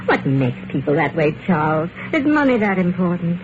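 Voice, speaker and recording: A dull, noisy vintage radio recording carries a gentle heiress. She is an earnest, hesitant woman, trusting and lonely in the manner of an old mystery play. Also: lowish voice, sing-song, normal speed. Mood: wistful, trusting. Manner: earnest, hesitant, soft-hearted.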